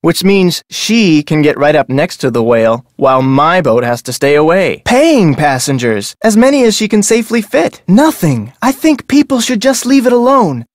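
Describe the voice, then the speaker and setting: A man's voice: a little high, no echo, clean studio sound, close to an everyday voice. A man voicing a chatty, salesmanlike whale-watch operator with a sly side in a video game, with a breezy, glib way of talking. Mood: gossipy, grumbling. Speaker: game voice actor. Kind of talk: video game character dialogue.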